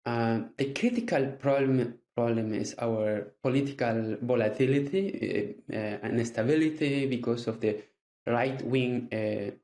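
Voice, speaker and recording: A man from Peru with a Peruvian Spanish accent and Quechua as his first language, speaking haltingly as a podcast guest. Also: mid-range voice, a little mellow, reverberant. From southern highland Andes of Peru. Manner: halting, many fillers. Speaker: guest historian. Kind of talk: podcast interview.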